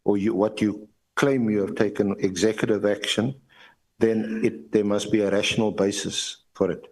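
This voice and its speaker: A South African man questioning a witness formally as evidence leader at a hearing, with halting, repetitive phrasing and lawyerly deference. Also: fairly low pitch, level tone, a little mellow, low-quality recording.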